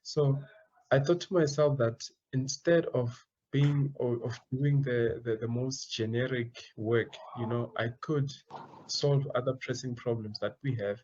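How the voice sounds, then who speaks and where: A man's voice: mid-range voice, measured pace, a little mellow. Young guy, earnest, keeps restarting his words, kinda muffled lo-fi sound. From South Africa. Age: young adult. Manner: earnest, repeats words while searching for phrasing. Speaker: guest, student entrepreneur. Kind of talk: interview answers.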